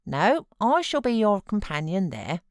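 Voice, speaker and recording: A British woman voicing a kindly housekeeper in an audiobook, gently coaxing and reassuring a child. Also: mid-range voice, big pitch swings, a little slow, dry recording, clean studio sound, pitched above the performer's own voice. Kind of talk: audiobook character voice.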